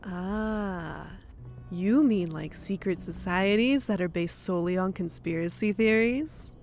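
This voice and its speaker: A woman playing a bright, wry, tech-obsessed gamer in an audio story. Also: medium pitch, a little slow, soft background music, close to an everyday voice. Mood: wry teasing. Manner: wry, teasing. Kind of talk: audio story character acting.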